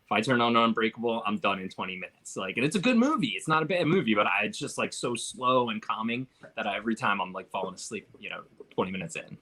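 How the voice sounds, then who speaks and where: Male movie panelist, lowish pitch with a bright, sharp edge, loose halting conversational delivery. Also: animated. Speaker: panelist on a film review show. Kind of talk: casual film discussion.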